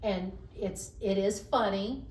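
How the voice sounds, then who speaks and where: A woman with a voice that carries, telling stories about her work in a lively, self-mocking way, often laughing. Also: mid-range voice, slow pace. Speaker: podcast guest, HR professional. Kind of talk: casual interview chat. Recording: roomy sound, mic at a distance, faint background hiss.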